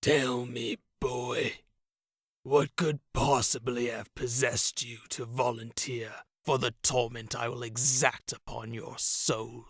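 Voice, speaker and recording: Cruel goblin king, put on by a man, slow hissing drawl, sharp edged tone, storybook villain. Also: medium pitch, level tone, no echo, clean studio sound. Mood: sneering. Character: cruel hulking male goblin king, sneering.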